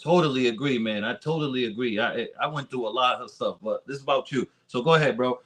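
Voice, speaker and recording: A man's voice: mid-range voice, quick pace, a bit bright, slight background noise. Male host, American, mid-range voice, encouraging, repetitive emphasis, informal interview chat. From United States, Rhode Island and San Diego. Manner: encouraging, repeats his phrases for emphasis.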